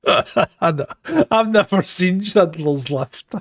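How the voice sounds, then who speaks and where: A man's voice: high-pitched, animated, takes it slowly, dry recording. A man co-hosting a live podcast, chuckling and tossing in dry quips. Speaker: podcast co-host. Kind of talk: casual live chat.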